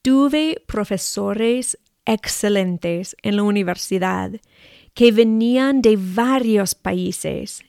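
A woman in her forties with an American accent reads her own story aloud at a slow, deliberate pace. Her voice has a gravelly, creaky edge, and the sound is dry and close. She is a native English speaker and Spanish teacher who sounds careful over each phrase. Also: mid-range voice, dry recording. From United States. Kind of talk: read personal narrative.

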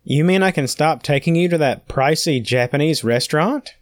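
A man's voice: fairly high pitch, normal speed, no echo, clean studio sound, lightly acted. A man playing an easygoing, mildly teasing husband on a game show in an audio drama.

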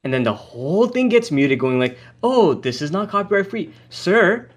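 A young man co-hosting a podcast, telling stories in an animated way and acting out what others say. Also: sits high, moderate pace. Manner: animated storyteller, acts out quotes. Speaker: podcast co-host. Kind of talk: casual podcast chat.

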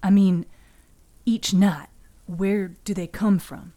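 A woman's voice: medium pitch, steady tone, slow, mellow, natural voice. A woman playing an earnest, impatient young man who presses bluntly with questions, in a fiction podcast. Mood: frustrated. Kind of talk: fiction podcast character dialogue.

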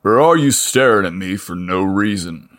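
A man voicing a burly, rough-voiced small-town mechanic who talks in a deep, friendly drawl. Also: animated, normal speed, a bit bright.

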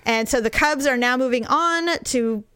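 Hoarse middle-aged lady chatting away, kinda high and smooth, dry close mic. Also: moderate pace, no room sound. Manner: chatty, animated storyteller, laughs often. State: hoarse, recovering voice. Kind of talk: casual chat.

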